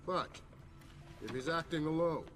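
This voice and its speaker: An actor playing an analytical senior intelligence adviser in a video game, measured, articulate and serious. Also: male voice, a little high, fairly bright tone. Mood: cautioning. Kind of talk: video game cutscene acting.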